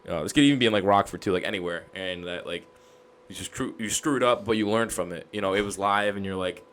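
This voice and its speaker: A young American man with a raspy voice, chatting casually as an interview host. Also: on the low side, fast talker, fairly bright tone. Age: young adult. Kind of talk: casual chat.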